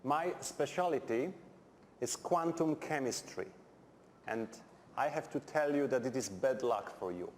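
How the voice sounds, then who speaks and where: A man's voice: medium pitch, expressive, a little slow. A middle-aged Polish man with a Polish accent, a non-native English speaker giving a university chemistry lecture in a blunt, direct way with dry humour. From Poland. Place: lecture hall. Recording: echoey lecture hall, faint background noise.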